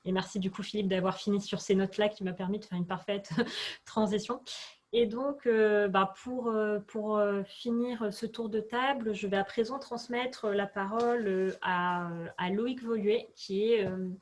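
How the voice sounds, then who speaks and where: A woman's voice: medium pitch, a little mellow. A woman moderating a webinar in a semi-formal way, often searching for her words.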